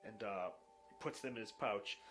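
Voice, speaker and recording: A man's voice: on the low side, normal speed, a bit bright, soft background music. A man narrating and improvising a tabletop fantasy game as game master.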